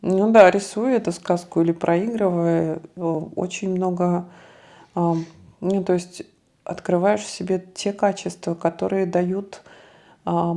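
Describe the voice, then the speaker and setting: Low, gravelly-voiced woman co-host. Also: dark tone. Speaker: co-host discussing a fairy tale. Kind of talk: casual analytical discussion.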